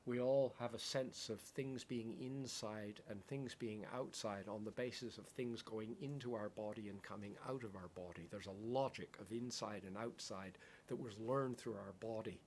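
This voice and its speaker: A man's voice: fairly low pitch, normal speed, few pauses, a little mellow, a little hiss or hum. A man teaching in a contemplative dharma talk. He speaks in poetic phrases and often checks in with "right?". English is his first language. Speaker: Buddhist meditation teacher.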